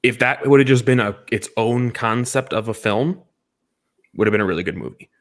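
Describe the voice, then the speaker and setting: A man's voice: medium pitch, brisk. A man co-hosting a casual film discussion, giving emphatic opinions and repeating points for stress. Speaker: podcast co-host reviewing films.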